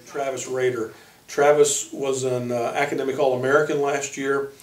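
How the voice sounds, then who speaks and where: A man who coaches college basketball, giving informal player profiles in a halting way, pausing mid-sentence. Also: mid-range voice, level tone. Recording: roomy echo, distant microphone.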